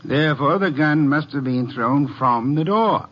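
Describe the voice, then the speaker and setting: An actor playing a haughty, brilliant older detective in a radio drama, speaking in a pompous, precise, deliberate way. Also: male voice, a little high, expressive. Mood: smug, lecturing. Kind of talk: 1940s-50s radio drama acting. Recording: dull old radio recording.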